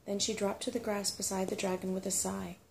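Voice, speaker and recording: A woman calmly reading a storybook aloud as unhurried ASMR narration. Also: medium pitch, creaky, slight background noise. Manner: calm, unhurried.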